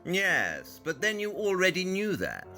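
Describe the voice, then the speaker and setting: This is a sharp-toned, aloof detective, as a man plays him in an audio drama. He talks in clipped, condescending lines with a lively, swinging pitch. Faint piano music plays behind him. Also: unhurried, full character voice. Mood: cool, deductive. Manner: curt, condescending, precise and clipped. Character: cold, brilliant male detective, aloof and impatient.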